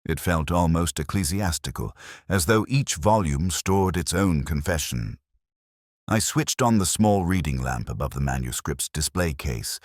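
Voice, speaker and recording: A man with an extremely low voice narrates a detective mystery as a brooding, weary investigator. His delivery is measured and solemn, yet the pitch moves expressively through each phrase. The recording is dry and close, with no sense of a room. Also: dry recording. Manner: measured, literary, solemn storytelling. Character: brooding, world-weary male police detective recounting a case. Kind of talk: scripted first-person detective story narration.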